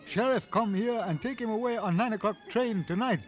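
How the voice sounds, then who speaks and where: Man playing high-voiced eager hotel messenger. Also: fairly quick, natural voice. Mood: eager, deferential. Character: eager, deferential Chinese hotel messenger in broken English. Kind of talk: 1950s radio western acting. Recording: vintage radio recording with music.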